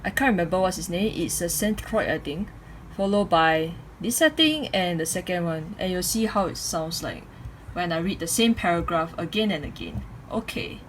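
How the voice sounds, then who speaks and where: A woman's voice: on the low side, expressive, normal speed, poor audio. A woman in her twenties with a Singaporean accent, speaking as an amateur reviewer into a microphone in a room at home. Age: 20s. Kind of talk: casual microphone review with read-aloud speech samples. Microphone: USB condenser microphone. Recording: home USB microphone recording, clear background noise.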